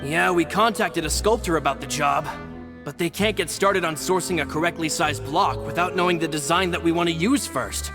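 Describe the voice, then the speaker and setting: A high male voice from an actor as a dutiful, deferential young assistant. His game dialogue is formal, courteous and eager, with music clearly playing behind him. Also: normal speed, lightly acted. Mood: earnest, deferential.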